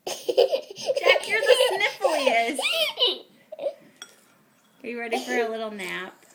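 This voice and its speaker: Young mom teasing her kid at home, bouncy high voice, noisy home video. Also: huge pitch swings, takes it slowly. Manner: playful, teasing questions. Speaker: mother filming her toddler. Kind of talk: home video chat with a child.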